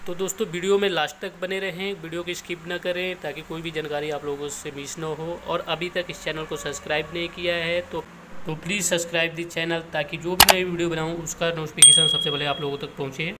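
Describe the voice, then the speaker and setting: A man's voice: on the high side, little pitch movement, brisk, very noisy. A man giving an informal explainer, going over points step by step. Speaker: YouTube explainer host.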